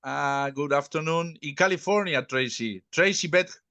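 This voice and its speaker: Guy hosting a podcast, halting english, dry close sound. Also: on the high side, bright, forceful tone, clear, resonant tone, dry recording. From Uruguay. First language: Spanish. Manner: halting English, restarts and stumbles. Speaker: podcast host. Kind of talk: conversational interview.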